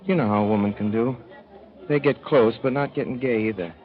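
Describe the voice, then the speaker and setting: A man's voice: mid-range voice, brisk, natural voice. An actor playing a naive young man from the Midwest who rambles through his story in a folksy, boyish way, on an old radio play. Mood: earnest. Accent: Midwestern American. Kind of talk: 1930s radio drama narration. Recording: dull 1930s radio broadcast with music.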